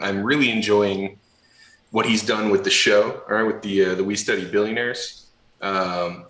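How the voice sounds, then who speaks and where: Joking guy on a stream, bright forceful low voice, echoey room sound. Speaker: panel member. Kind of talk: casual livestream chat. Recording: roomy, echoing sound.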